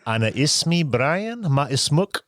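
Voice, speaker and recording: A man's voice: a little high, big pitch swings, unhurried, a bit bright, dry recording. Playful male podcast host, dry sound. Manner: playful and jokey, laughs often. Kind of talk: casual interview chat.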